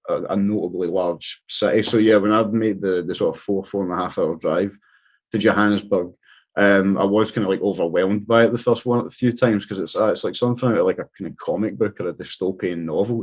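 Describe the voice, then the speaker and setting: A man's voice: deep voice, fairly quick, a little mellow, husky. A man from Scotland with a Scottish accent, a guest talking casually in an interview, rambling with many restarts and fillers. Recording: dull lo-fi sound.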